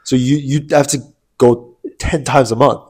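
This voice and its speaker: A man's voice: mid-range voice, fairly even intonation, moderate pace, a little mellow, husky. A young man with a stuffed-up nose from allergies, co-hosting a casual podcast chat. He rambles, laughs often and restarts his sentences. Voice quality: nasal. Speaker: podcast co-host.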